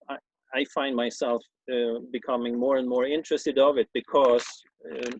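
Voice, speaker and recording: A man with a Swedish accent talks in a loose, explanatory way, stopping often to restart his sentences and fill gaps with ums and uhs. His voice sits at an ordinary middle pitch. The recording is dull and lo-fi, with a dry sound and no room echo. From Sweden. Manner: hesitant, halting with many fillers and repeated restarts. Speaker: former schoolteacher presenting a talk. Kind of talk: informal explanatory talk on old texts.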